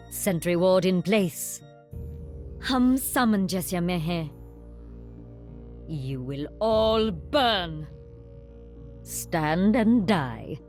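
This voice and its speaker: Imperious dragon sorceress, Indian accent, game character, lowish voice, unhurried, commanding. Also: female voice. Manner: commanding, formal, measured. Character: imperious dragon sorceress summoning wards and fire.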